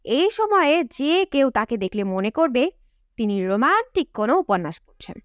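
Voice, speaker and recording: A woman's voice: high voice, big pitch swings, moderate pace, dry recording, studio quality. A woman reading a novel aloud as an audiobook narrator in a scripted storytelling style.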